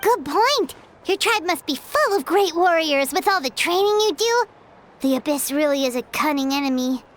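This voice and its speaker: A woman voicing a small, perky, childlike fairy companion with a squeaky voice in an anime game. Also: expressive, moderate pace. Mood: curious, chatty. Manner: exclamatory, refers to self in third person. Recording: music behind the voice.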